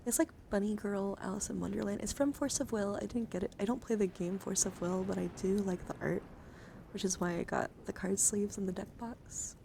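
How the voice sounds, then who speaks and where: A woman hosting an ASMR livestream, slipping between whispering and soft speech in a gentle, chatty, encouraging way as she shows things to viewers. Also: mid-range voice, steady tone, brisk, vocal fry, background noise. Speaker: livestream host. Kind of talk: whispered ASMR, showing and reading out trading cards. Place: indoor livestream, hands-on camera.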